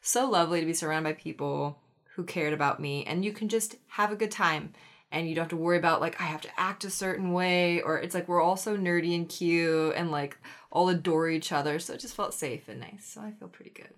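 Lady co-host on a podcast, kinda low voice, chill and encouraging. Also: fairly quick. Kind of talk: casual podcast chat.

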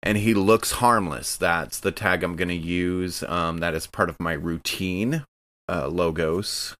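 A man's voice: sits low, measured pace, a bit bright, no room sound. A man playing a tabletop roleplaying game, casually and playfully describing what his character does. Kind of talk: casual improvised game narration.

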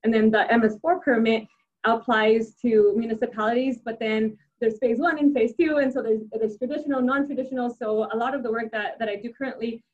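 A young adult woman whose first language is Spanish talks casually and upbeat about her engineering work, often restarting her sentences. Her voice sits a little high, and the recording is dull and lo-fi. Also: fairly even intonation, fairly quick. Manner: many restarts and fillers, upbeat. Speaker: civil engineer guest. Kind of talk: casual interview answers.

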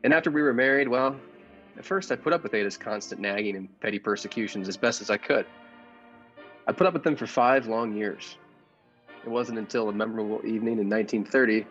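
A man playing a meek, henpecked husband who narrates his secret escape in measured, formal old-time radio style. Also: lowish voice, a bit bright, lo-fi sound, faint music behind, close to an everyday voice. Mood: brooding, confiding.

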